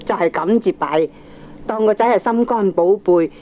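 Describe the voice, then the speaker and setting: An actress with a Hong Kong accent playing an opinionated middle-aged aunt, lecturing firmly and emphatically in a radio drama. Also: female voice, mid-range voice, normal speed, mellow, natural voice. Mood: lecturing, critical. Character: opinionated aunt, firm, preachy family adviser. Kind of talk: Cantonese radio drama acting. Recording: dull, slightly noisy old cassette recording.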